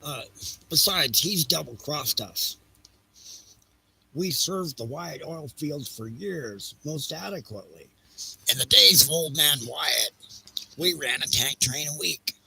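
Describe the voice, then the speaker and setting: Casting: male actor for a petulant executive, audio drama. Piercing, forceful edge with creaky fry, indignant and excuse-making. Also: on the high side, expressive, slow, no room sound, exaggerated voice, poor audio. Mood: defensive, hesitant. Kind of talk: audio play dialogue.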